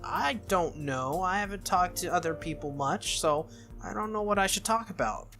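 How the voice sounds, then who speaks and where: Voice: medium pitch, lively intonation, moderate pace, gravelly, a bit bright, faint music behind, pitched above the performer's own voice. A performer voicing a cheerful, childish young noble girl from a visual novel, eager, innocent and a little petulant. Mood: bored.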